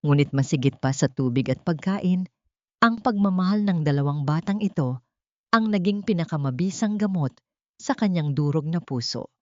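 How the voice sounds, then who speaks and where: Dull-sounding, lower-voiced woman telling a drama. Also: lively intonation, moderate pace, warm tone, no room sound. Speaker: audiobook narrator. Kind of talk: dramatic scripted storytelling.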